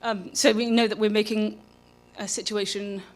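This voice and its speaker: A woman giving an informal public lecture into a live event microphone, speaking in a halting, self-interrupting way. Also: medium pitch, level tone, a little mellow. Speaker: public programs manager and lecturer. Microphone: microphone for live streaming.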